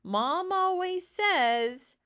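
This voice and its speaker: A woman voicing a bossy, excitable young girl in a storybook, calling out in an urgent shriek. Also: very high voice, drawn-out words, pitched above the performer's own voice, full character voice. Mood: urgent, shrill.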